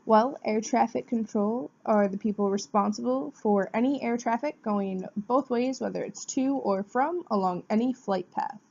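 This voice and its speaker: A woman's voice: fairly high pitch, moderate pace, gravelly, hardly any pauses, a little mellow. A woman gives a prepared informative presentation in an explanatory way, posing questions and answering them. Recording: dull, muffled lo-fi sound.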